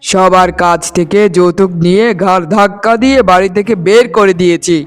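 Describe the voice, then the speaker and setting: A man's voice: high voice, fairly even intonation, a little fast, smooth, soft background music, lightly acted. A man voicing a cruel, greedy young husband, harsh and contemptuous, in a melodramatic cartoon. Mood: contemptuous, gloating.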